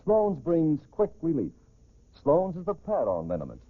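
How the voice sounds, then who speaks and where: A tinny old radio recording of an American male announcer. His mid-pitched voice moves up and down with lively emphasis in formal 1940s broadcast style. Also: normal speed.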